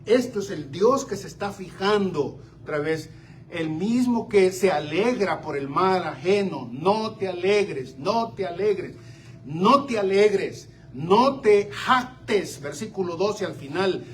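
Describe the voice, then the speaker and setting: An older man from Central America preaching a sermon, swinging between loud exclamations and quiet asides. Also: high voice, animated, takes it slowly. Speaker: pastor. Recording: slightly distant mic with faint room noise.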